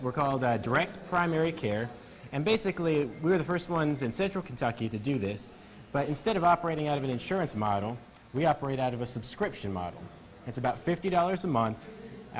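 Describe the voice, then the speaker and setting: A man speaking as a doctor being interviewed, explaining things in a conversational way. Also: medium pitch.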